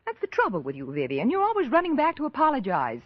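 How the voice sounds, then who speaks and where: An actress in an old-time radio drama playing a brisk, nosy society hostess, gushing and bossy. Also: female voice, high voice, huge pitch swings, no room sound, full character voice. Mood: exasperated, baffled. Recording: muffled old radio recording.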